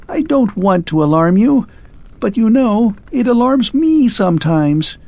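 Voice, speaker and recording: A man's voice: sits high, gravelly, a little mellow, no echo, voice pushed up, exaggerated voice. A man reading a storybook and voicing a clockwork man who speaks in clipped, broken phrases and barks like a dog. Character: male clockwork man, glitching and anxious.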